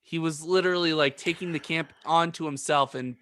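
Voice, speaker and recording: Guy on a podcast, kinda high bright voice, jokey and self deprecating. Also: fairly even intonation, a little fast. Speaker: podcast host. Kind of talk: casual chat.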